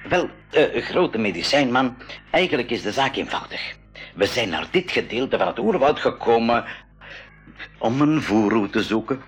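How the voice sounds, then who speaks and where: An actor playing an eager, talkative man on a jungle expedition in a 1980s radio drama, with a lively, explanatory manner. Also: medium pitch, normal speed, breathy edge, faint music behind. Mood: eager, polite. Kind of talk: 1980s Dutch-language school radio drama acting.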